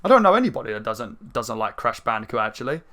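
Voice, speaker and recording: Croaky man chatting over gameplay. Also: mid-range voice, fast talker. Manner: rambling, chatty, many fillers, excited outbursts. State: croaky throat. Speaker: gaming channel host. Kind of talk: casual gameplay commentary. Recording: faint game music behind. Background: game music.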